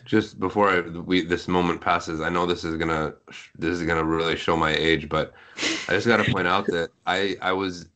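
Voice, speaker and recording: A man's voice: sits low, fairly even intonation, moderate pace, pushed and bright. A middle-aged man reminiscing casually as a guest on a podcast call. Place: remote group call.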